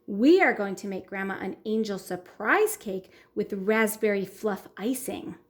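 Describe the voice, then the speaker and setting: A firm, bossy older sister bunny from a children's storybook, as a woman reads her part aloud. She gives orders and scolds in a lively, sing-song voice that rises and falls widely. She talks slowly and carefully. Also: mid-range voice, voice pushed down, close to an everyday voice.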